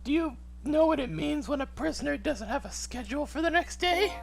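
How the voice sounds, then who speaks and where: A high, creaky-edged, wildly swinging voice for a headstrong lady detective acted by a woman in a mystery game. She is emphatic, defensive and highly strung. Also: animated, brisk. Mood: urgent.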